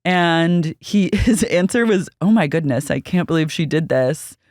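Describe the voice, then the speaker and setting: A woman with a deep voice co-hosting a podcast, recapping gossip in a casual, deadpan, wry way. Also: unhurried, no room sound, clean studio sound. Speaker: podcast co-host. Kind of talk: casual chat.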